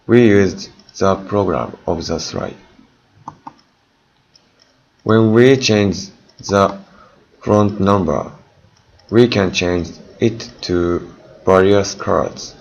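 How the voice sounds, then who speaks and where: A dull recording carries a male student presenter with a low, creaky voice. He reads out his project extremely slowly, phrase by broken phrase, in non-native English. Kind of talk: prepared presentation of a software project. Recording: dull, lo-fi recording with faint noise.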